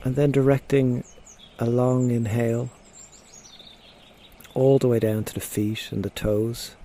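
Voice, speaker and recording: A man leading a guided body scan meditation, giving gentle, unhurried instructions with frequent pauses. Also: medium pitch, little pitch movement. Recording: faint outdoor nature sounds behind. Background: birds and nature sounds.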